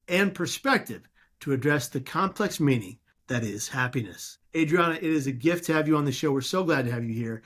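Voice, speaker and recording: An American man hosting an interview podcast, speaking in an effusive, emphatic way with deliberate phrasing. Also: fairly high pitch, a bit bright.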